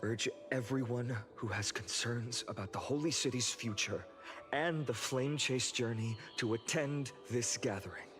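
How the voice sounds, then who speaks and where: A man's voice: mid-range voice, a little slow, close to an everyday voice. A man voicing an earnest, resolute young warrior leader in a fantasy game, speaking formally. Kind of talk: dramatic video game dialogue. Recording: music behind the voice.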